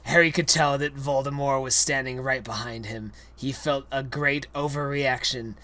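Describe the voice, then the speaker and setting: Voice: mid-range voice, steady tone, moderate pace, pushed and bright. A young adult with a mid-range voice reads a silly parody story aloud. The narration is theatrical and exaggerated, played for comedy. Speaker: reader.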